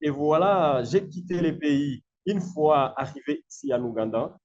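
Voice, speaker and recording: Congolese male guest, French native, hesitant, higher voice, dull lo-fi call audio. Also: expressive, normal speed, darkish tone. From Democratic Republic of the Congo. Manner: hesitant, many fillers. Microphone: video call.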